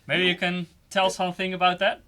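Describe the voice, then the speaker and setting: A man's voice: high-pitched, monotone, a little fast, pushed and bright, clear, resonant tone. A man hosting a livestream, interviewing in a friendly, chatty, casual way. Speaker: livestream host.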